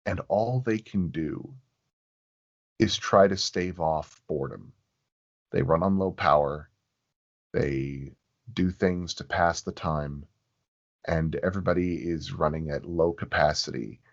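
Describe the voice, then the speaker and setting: Slow-talking, low-voiced man telling stories. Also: dull audio. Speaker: podcast guest. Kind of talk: casual conversational storytelling.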